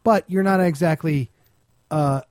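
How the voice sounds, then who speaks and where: A man's voice: on the high side, measured pace, darkish tone, dry recording, clean studio sound. A male comedian hosting a talk show, bantering casually in a blunt, interjecting way. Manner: blunt, interjecting, self-described aggressive.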